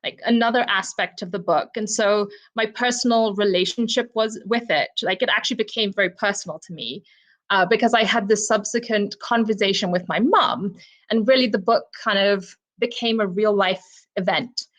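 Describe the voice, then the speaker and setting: A woman from Zimbabwe speaks over a video call as a book club co-presenter. She reflects thoughtfully and personally on a novel, often pausing with ums and you knows. Her pitch sits in an ordinary middle range. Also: a bit bright. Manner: reflective, personal, frequent "um" and "you know" fillers. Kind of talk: virtual book discussion talk.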